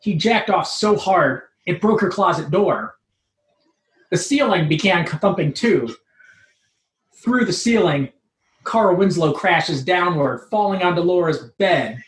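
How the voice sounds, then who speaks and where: A woman's voice: fairly low pitch, lively intonation, unhurried, pauses often. A woman reading a scripted comic story aloud as narrator. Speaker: troupe member reading own comic story. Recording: roomy, echoing live room.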